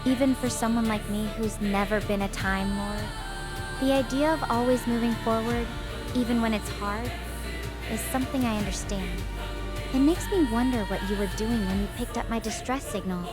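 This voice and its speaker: A woman voicing a stranded young scientist who speaks softly and reflectively, asking sympathetic questions over background music. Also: a little high, moderate pace, close to an everyday voice. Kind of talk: improvised fan audio drama.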